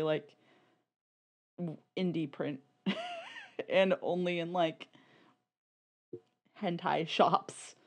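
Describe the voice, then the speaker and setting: A woman with a slightly low voice talks casually as a podcast co-host. Her phrasing is informal and loose, sprinkled with "like" as she works through a point in discussion. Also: darkish tone. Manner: informal, peppers talk with "like" and laughs easily.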